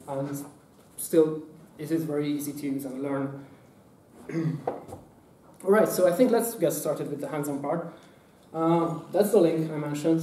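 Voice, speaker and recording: A man, giving a hands-on workshop talk at a conference, speaking haltingly with many fillers and restarts. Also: on the high side, dark tone, a little hiss or hum. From Hungary. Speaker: workshop presenter. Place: conference room. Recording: echoey room, mic at a distance.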